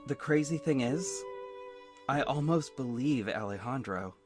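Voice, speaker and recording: A man's voice: mid-range voice, lively intonation, a little slow, natural voice. A man plays a young superhero narrating an audio drama, confiding and a little sardonic. He broods frankly over his own story, with music underneath. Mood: brooding, reflective. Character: young adult male superhero narrator, hurt, introspective, sardonic. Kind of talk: first-person audio drama narration with dialogue. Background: instrumental music.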